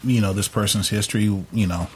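A man's voice: on the low side, fairly even intonation, brisk, slight background noise. A man in a casual panel chat, hesitant and repeating words as he goes. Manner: hesitant, stammering repetitions. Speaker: talk show panelist.

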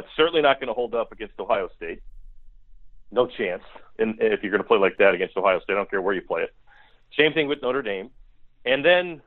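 A thin, phone-quality line carries an American man with a mid-pitched voice. He talks as a football analyst in an interview, blunt and critical, pressing his points by repeating them. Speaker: guest sports analyst. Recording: tinny phone-line sound.

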